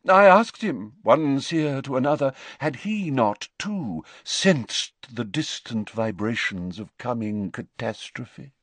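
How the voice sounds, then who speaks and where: A man voicing an eccentric, haughty middle-aged woman seer, speaking in a high, theatrical, mystical manner. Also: lively intonation, slow, hardly any pauses, no room sound, full character voice. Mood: aggrieved dramatic.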